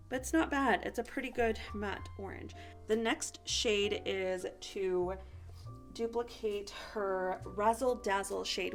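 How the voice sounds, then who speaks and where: A woman with a noticeably creaky, mid-pitched voice talks casually to her viewers like a beauty vlogger. She sounds slightly congested and sniffly, and soft music plays behind her. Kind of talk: casual chatty eyeshadow swatch review.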